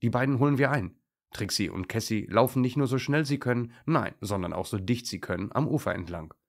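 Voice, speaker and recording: A man's voice: fairly low pitch, a little fast, gravelly. A man narrating a scripted children's animal story as a storyteller.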